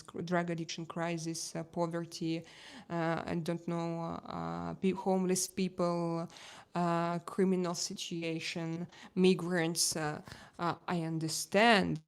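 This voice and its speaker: A woman from Russia with a Russian accent, a native Russian speaker, chatting casually as a live stream host in halting English and often searching for words. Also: lowish voice.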